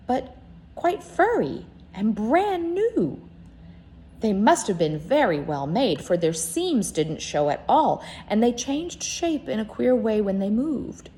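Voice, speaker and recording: A woman reading a children's story aloud in an expressive, dramatic way, sometimes dropping to hushed tones. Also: mid-range voice.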